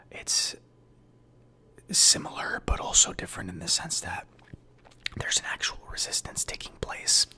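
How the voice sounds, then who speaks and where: A man softly whispering an ASMR sci-fi journal roleplay in a breathy, hesitant, thoughtful way, close to the microphone. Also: high voice. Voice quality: breathy whisper and soft speech. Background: computer keyboard typing.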